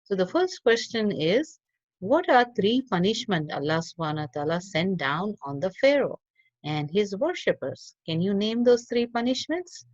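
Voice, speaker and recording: A woman reading a religious story for children in an expressive, teacherly way. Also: mid-range voice, moderate pace, no echo. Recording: dull lo-fi audio.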